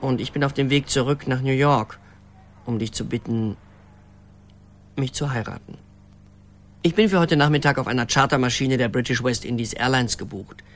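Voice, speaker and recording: An actor playing a rambling boyfriend leaving a halting, reassuring voicemail in a radio drama. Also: male voice, medium pitch, fairly even intonation, natural voice. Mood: hesitant, reassuring.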